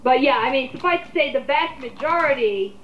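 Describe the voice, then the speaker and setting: A woman's voice: high voice, lively intonation, brisk, a little hiss or hum. A woman co-hosting an online commentary, chatting casually and giving her opinions in a rambling, informal way with occasional swearing. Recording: roomy, dull lo-fi home recording.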